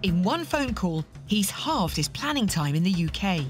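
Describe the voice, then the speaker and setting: A woman's voice: mid-range voice, big pitch swings, moderate pace, music in the background. A woman giving playful, scripted narration for a reality show. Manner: playful, punning.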